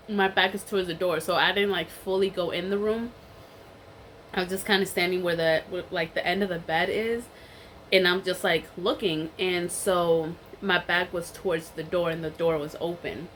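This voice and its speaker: A woman's voice: on the low side, a bit bright, slight background noise, low-quality recording. A young woman with a cold and a stuffy voice, telling a rambling story in a casual chat while she eats. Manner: chatty and rambling, many fillers and restarts. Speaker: vlogger.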